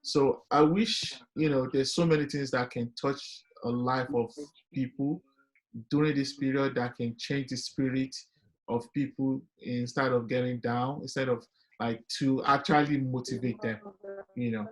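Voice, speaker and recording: Nigerian man, guest on a webinar call, mid pitch, earnest and motivational, loose and filler-heavy. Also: steady tone, measured pace, poor audio. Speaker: guest panelist. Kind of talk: casual webinar discussion.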